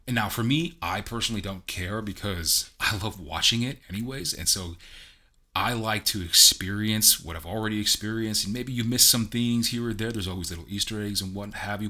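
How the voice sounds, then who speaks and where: Deep-voiced, chatty male game reviewer. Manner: chatty, self-deprecating, relaxed. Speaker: game reviewer and amateur voice actor. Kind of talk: casual video review.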